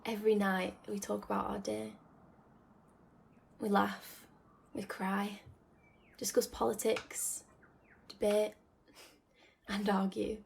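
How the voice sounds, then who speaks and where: A woman acting a wistful figure remembering the family home, in a reflective radio drama monologue full of pauses. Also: mid-range voice, flat delivery, gravelly, a little hiss or hum. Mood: nostalgic. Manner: slow, reflective, pausing. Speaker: actor in a student radio drama.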